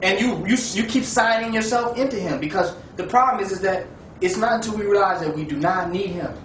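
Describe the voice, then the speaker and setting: A man with a high voice argues with preachy, rhetorical force. He keeps checking that the listener follows him. The recording is roomy and distant, with noise behind him. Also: brisk, a bit bright. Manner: preachy, rhetorical, asks "you understand?". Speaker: discussion participant. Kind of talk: impassioned debate. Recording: echoey room, far from the mic, with noise.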